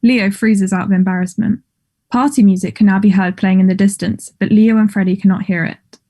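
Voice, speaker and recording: Female narrator, mellow, medium-pitched, reads scripted stage directions. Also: fairly even intonation, fairly quick.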